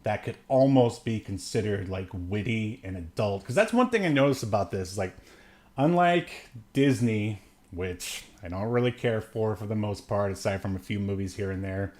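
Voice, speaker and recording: A man's voice: fairly low pitch, normal speed, darkish tone. A man co-hosting a podcast, giving a casual, comedic movie recap in a rambling, jokey way with frequent chuckles. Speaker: podcast co-host reviewing films.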